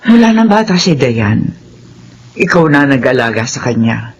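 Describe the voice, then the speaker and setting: A woman playing a gracious, remorseful employer and mother in a radio drama, measured and earnest. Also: rumbling low, sing-song, dull audio, a little hiss or hum, heavily acted.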